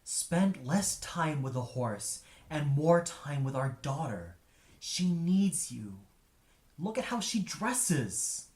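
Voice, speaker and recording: A man voicing a worried, desperate father pleading in drawn-out, emphatic words, in a story reading. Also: a little high, very animated, a little mellow, pitched above the performer's own voice. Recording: echoey room.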